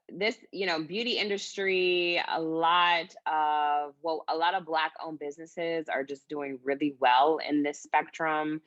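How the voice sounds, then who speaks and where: A woman's voice: deep voice, fairly bright tone, dry recording, dull audio. A woman hosting a casual interview, chatty and full of fillers. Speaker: interviewer host.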